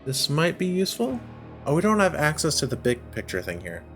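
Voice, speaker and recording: A man's voice: a little high, huge pitch swings, a little fast, fairly bright tone, soft background music. A man giving casual gameplay commentary, thinking aloud in a hesitant, self-questioning way as he works through a puzzle game. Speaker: video game playthrough host.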